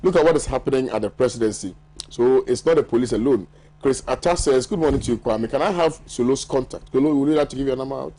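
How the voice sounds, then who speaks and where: Ghanaian male TV host, slightly husky, lively banter, reads out viewer texts. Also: medium pitch, quick pace, low-quality recording. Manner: lively, laughs often, banters with panel. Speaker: morning show host. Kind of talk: newspaper review, reading viewer messages. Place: TV studio.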